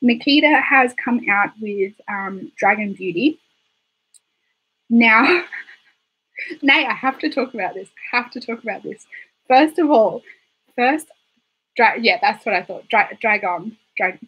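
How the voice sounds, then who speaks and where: Husky woman chatting on a livestream. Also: on the high side, a little slow, pauses often, muffled recording. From Australia. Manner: chatty, rambling, giggly asides. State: hoarse, recovering from illness. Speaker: beauty livestream host. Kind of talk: casual livestream chat reading viewer comments. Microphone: desktop microphone.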